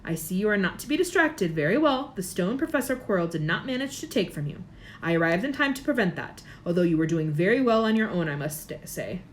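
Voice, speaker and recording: A woman voicing a kindly old male headmaster in a storybook reading, gentle, measured and formal. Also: medium pitch, expressive, a little hiss or hum, natural voice.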